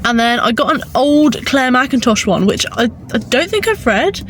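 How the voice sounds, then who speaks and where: A woman's voice: sits high, sing-song, normal speed, a little hiss or hum. A woman with a congested, bunged-up nose, chatting enthusiastically in a casual book vlog. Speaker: book vlogger.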